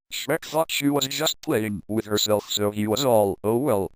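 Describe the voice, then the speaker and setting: A man's voice: mid-range voice, smooth, no room sound, low-quality recording. A man reading a comic fan fiction story aloud in a playful, crude, dramatic manner.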